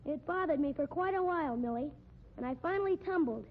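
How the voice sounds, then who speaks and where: An actor playing a sneering, spiteful little circus midget with a small piping voice, pompous and taunting, in 1950s radio drama style. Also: male voice, very high voice, animated, quick pace. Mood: sly, needling. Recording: muffled, noisy old radio recording.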